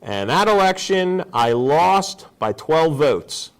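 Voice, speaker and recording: A man's voice: on the high side, big pitch swings, slow pace. A man in his forties speaking as a guest on a casual talk show, telling stories in a joking way. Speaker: guest, district judge.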